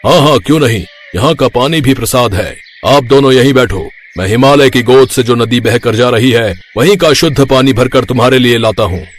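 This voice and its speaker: A man's voice: mid-range voice, moderate pace, few pauses, a little hiss or hum, lightly acted. A man voicing a reassuring, solemn tantric holy man in a cartoon moral story.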